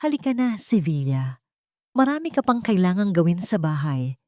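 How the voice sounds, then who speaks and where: A woman's voice: fairly low pitch, sing-song, normal speed, a little mellow, no echo. A woman voicing a cruel, scheming older mother in an audio drama story, sneering and commanding as she talks. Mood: mocking, scornful.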